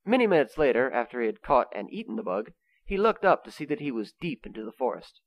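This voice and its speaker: A man's voice: medium pitch, big pitch swings, fast talker, dull audio. A man reading scripted, storybook-style narration for an amateur radio play.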